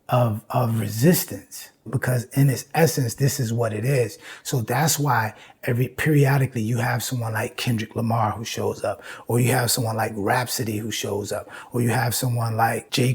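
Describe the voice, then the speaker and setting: Husky, mellow man lecturing. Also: a little high. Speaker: host and lecturer on music history. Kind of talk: informal lecture with recited lyrics.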